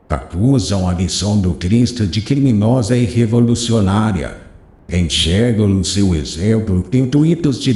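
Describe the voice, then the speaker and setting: A man voicing a wise, gentle old rabbi teacher who consoles his pupil in a slow, solemn preaching cadence. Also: on the low side, voice pushed down. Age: older. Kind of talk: audiobook character reading. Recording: roomy echo.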